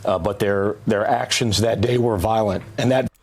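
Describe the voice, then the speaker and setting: Deep-voiced, halting former officer. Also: male voice, fairly even intonation, a little mellow. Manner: halting, serious, many fillers. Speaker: former police officer, interview guest. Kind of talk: broadcast interview.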